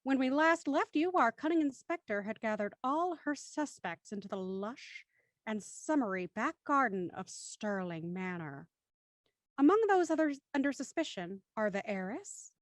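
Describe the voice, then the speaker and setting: A woman narrating a radio drama in a measured, suspenseful way. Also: a little high, animated, no echo.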